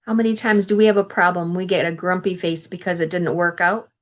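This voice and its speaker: A woman reading a children's picture book aloud in an expressive, instructive way, adding explanations for young listeners. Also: on the low side, brisk. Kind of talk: read-aloud storytelling with explanatory asides to children.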